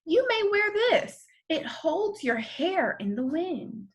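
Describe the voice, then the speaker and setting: A woman voicing an earnest, hopeful young schoolboy in a storybook, speaking in halting, simple phrases. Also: very high voice, sing-song, voice pushed up, heavily acted. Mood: pleading.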